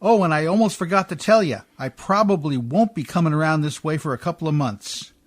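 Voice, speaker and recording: A man acts out an uncouth but good-natured riverboat pilot in an old-style radio play. His voice sits very high for a man, and he talks in a folksy, ungrammatical, chuckling way. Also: brisk, natural voice. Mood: casual, unconcerned.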